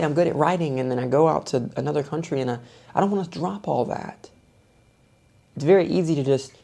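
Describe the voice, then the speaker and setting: A man's voice: a little high, creaky, dark tone. A young man vlogging with a soothing voice, talking through his thoughts in a thoughtful, self-searching way. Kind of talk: reflective personal monologue.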